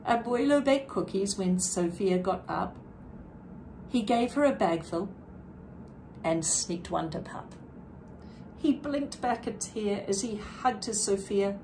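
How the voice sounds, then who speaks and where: Unhurried woman reading a children's storybook aloud. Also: mid-range voice, animated, darkish tone, a little hiss or hum, poor audio. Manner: deliberate, dramatic emphasis with brief explanatory asides. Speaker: children's book reader. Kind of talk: expressive read-aloud of a rhyming picture book.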